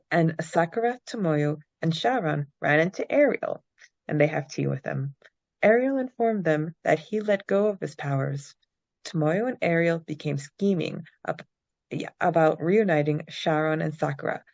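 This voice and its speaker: A woman's voice: low-pitched, gravelly, a little mellow, muffled recording. A young adult woman co-hosting a podcast, recapping and discussing a story. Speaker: podcast co-host. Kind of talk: reading a recap, then casual book-club chat.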